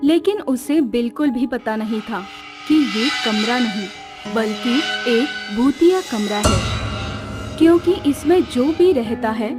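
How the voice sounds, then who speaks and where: Slow, high-voiced woman telling horror stories. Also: a little mellow. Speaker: storyteller. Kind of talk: slow scripted horror story narration. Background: music.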